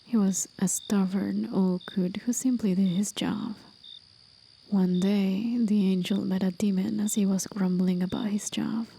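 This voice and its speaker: Woman as contemplative demon guide for ASMR roleplay: hushed, mellow whisper, unhurried, faint hiss and crickets behind. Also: medium pitch, steady tone. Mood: gentle, reflective. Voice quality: soft, breathy whisper. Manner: slow, contemplative, soothing. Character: gentle, musing demon guide, curious about humans.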